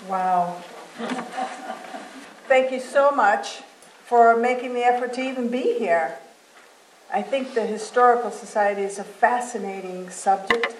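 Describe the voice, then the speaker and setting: A woman from Venezuela, speaking as a guest and telling her life story in a rambling, anecdotal way. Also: a little high. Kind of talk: personal storytelling talk. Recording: echoey hall with faint noise.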